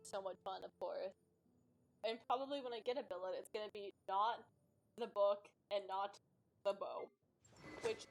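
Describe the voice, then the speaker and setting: A woman's voice: mid-range voice, rough recording. A woman giving casual, chatty commentary on a game livestream, rambling and often trailing off mid-thought. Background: music.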